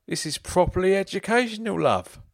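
A man voicing a middle-aged father who is drunk, loud and mocking, with a slurring voice. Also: sits high, animated, a little fast, creaky, no echo, clean studio sound, voice pushed up, heavily acted. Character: loud tipsy middle-aged British dad, joking.

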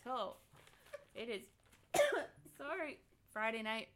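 A woman's voice: a little high, sing-song, slow, background noise. Woman podcast host, casual and rambling, giggles often, faint clicks in background. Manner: giggly, rambling, trails off into side stories. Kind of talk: casual chat. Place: home office.

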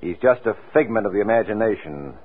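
Wry radio detective, husky and animated. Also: male voice, on the low side, quick pace. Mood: cool, accusing. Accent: American. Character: quick-witted, flirtatious, self-assured male private detective. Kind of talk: 1940s radio detective drama acting. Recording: tinny, muffled old radio recording, music.

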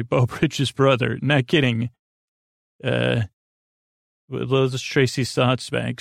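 A man's voice: medium pitch, vocal fry, no echo, studio quality. A man hosting a bedtime podcast, rambling and meandering, stammering and losing his train of thought as he talks. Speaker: podcast host.

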